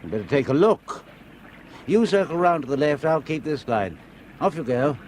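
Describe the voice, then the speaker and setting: An actor plays a scheming middle-aged swindler with a patronizing, self-satisfied manner. His fairly high voice swings widely in pitch, with water and outdoor noise heard behind him. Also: animated, moderate pace, darkish tone, natural voice. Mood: commanding. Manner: patronizing, says "dear boy". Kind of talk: 1970s radio drama acting. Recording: radio play with water noise.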